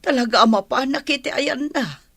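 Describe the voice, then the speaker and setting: A woman playing an anxious, determined mother searching for her child in an Ilocano radio drama, with a husky, breathy voice. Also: sits high, moderate pace, fairly bright tone, no echo, studio quality, full character voice.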